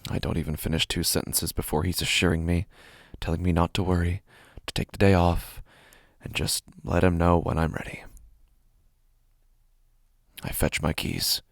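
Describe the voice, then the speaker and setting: A man acting an unraveling, despondent office worker in a first-person horror podcast monologue, halting and brooding with a gravelly voice. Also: low voice, level tone, no echo, clean studio sound. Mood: weary, subdued. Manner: halting, brooding, breathy near-whispers, repeats words. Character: despondent, unraveling male office worker getting drunk alone. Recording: music playing under the voice. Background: prominent music bed.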